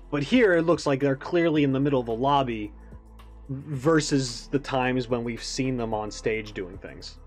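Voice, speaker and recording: A man hosting a reaction video, speaking casually in rambling, enthusiastic analysis. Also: medium pitch.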